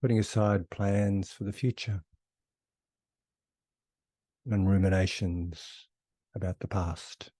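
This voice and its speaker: Male meditation teacher, very slow delivery in spaced phrases, slightly husky edge, close dry sound. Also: low-pitched, fairly even intonation, warm tone, no room sound. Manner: unhurried, phrase by phrase with long pauses. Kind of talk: guided meditation.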